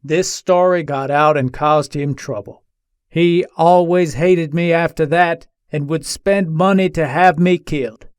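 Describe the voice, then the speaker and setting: A man performs an embattled Old West gunslinger in a dramatic reading. The voice sits a little high for a man and is recorded dry and close. He tells his side from jail in deliberate, formal, old-fashioned phrasing. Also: fairly even intonation, smooth, no room sound, close to an everyday voice. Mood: accusing, resentful.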